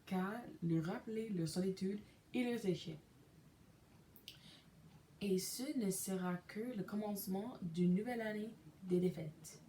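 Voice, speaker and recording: Slow, careful English-Canadian woman reading. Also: mid-range voice, dark tone, reverberant, slight background noise. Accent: English-speaking Canadian. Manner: hesitant, pauses with euh, restarts mispronounced words. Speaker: podcaster reading a devotional. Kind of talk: slow careful reading aloud in a second language.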